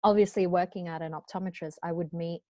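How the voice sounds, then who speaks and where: Young Australian woman, lowish voice, close sound. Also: steady tone, fast, darkish tone, no echo. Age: 20s. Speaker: podcast co-host. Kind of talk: casual group chat.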